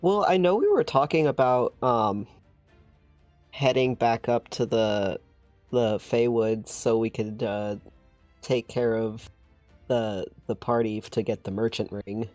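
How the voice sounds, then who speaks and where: A man's voice: mid-range voice, unhurried, darkish tone, dry recording, faint music behind. A man playing a tabletop game, chatting casually and a little hesitantly as he thinks aloud.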